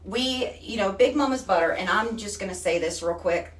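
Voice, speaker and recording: A woman's voice: mid-range voice, normal speed, a little hiss or hum. A woman from Texas with a Texan accent, hosting a casual live stream in a chatty, folksy way. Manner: chatty and folksy, says honey and y'all. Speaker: host. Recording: echoey room, far from the mic.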